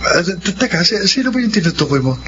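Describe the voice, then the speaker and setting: Earnest devoted husband, muffled radio sound. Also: male voice, high voice, big pitch swings, fast, background noise. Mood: concerned. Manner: earnest, tender, melodramatic. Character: devoted, forgiving husband, earnest romantic lead. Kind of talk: Filipino radio drama acting. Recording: muffled lo-fi radio, music behind.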